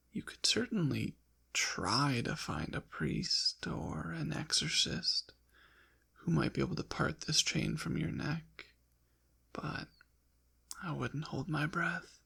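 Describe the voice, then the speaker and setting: A man playing a suave, possessive ancient demon in an intimate ASMR roleplay, teasing and haughty in formal, old-fashioned wording, with a breathy, often whispered, gravelly voice. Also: fairly high pitch, a bit bright, heavily acted.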